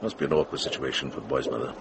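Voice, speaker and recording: An actor playing a wry older monk in a radio drama, gruffly kind, teasing and chiding the young. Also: male voice, extremely low pitch, rapid-fire, noisy background.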